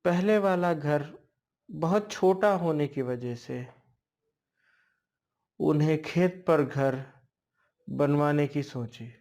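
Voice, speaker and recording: A man narrating a ghost story as a storyteller, in short, halting phrases. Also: fairly high pitch, darkish tone. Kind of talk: slow spoken ghost storytelling.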